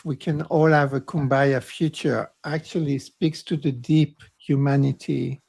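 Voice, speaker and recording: Croaky, gravelly-voiced man co-hosting. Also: on the high side, a little mellow. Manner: rambling, self-mocking, passionate. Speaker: co-host. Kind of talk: casual panel discussion.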